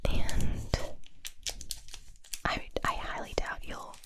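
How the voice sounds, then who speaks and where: A woman whispering close to the mic for ASMR, congested from a cold, with soft trailing phrases. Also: drawn-out words. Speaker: ASMR creator. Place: home room with string lights. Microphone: close binaural mic.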